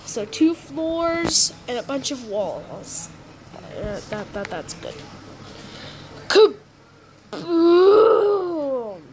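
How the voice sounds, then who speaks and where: A woman improvising a disaster roleplay for a game video, speaking haltingly with repeated words and stumbles. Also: high voice. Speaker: gamer recording a roleplay video. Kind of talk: improvised disaster roleplay with mock alert announcements. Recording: clearly noisy background.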